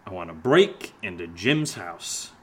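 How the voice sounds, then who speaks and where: Low-voiced, sardonic, shaken man. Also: very animated, a bit bright, husky, full character voice. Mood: earnest, determined. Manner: hesitant, halting, sarcastic. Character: sardonic, shaken man named Steve, joking through fear. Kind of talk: naturalistic horror audio drama acting.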